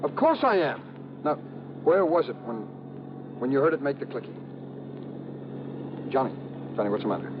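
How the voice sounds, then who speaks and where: An American actor playing an investigator's anxious, exasperated colleague in a golden age radio drama, insistent and emphatic. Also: male voice, mid-range voice, sing-song, moderate pace, pauses often, lightly acted. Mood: urgent, insistent. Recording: muffled vintage radio, faint noise.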